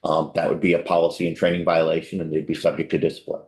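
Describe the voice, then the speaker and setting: Very low-voiced man testifying. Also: level tone, fast talker, a little mellow. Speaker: corrections official testifying. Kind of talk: committee testimony. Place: legislative hearing room. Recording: echoey hearing room.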